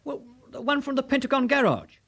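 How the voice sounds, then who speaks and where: A high-pitched, excitable British housemaid. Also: female voice, fairly quick, gravelly, full character voice. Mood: polite, chirpy. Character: young female household servant, excitable and dutiful. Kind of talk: radio drama acting.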